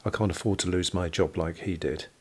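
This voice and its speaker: A man voicing a gentle, cautious working man in an audio drama, wry and mildly chiding. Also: deep voice, steady tone, fairly quick, husky. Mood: gently chiding.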